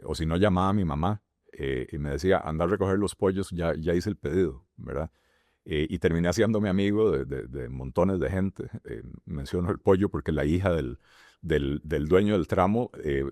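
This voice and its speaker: A middle-aged man with a Costa Rican accent, answering interview questions as a candidate in a halting way with many fillers and restarts. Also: extremely low pitch, a little mellow, no echo, clean studio sound. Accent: Costa Rican Spanish. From Costa Rica. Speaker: presidential candidate.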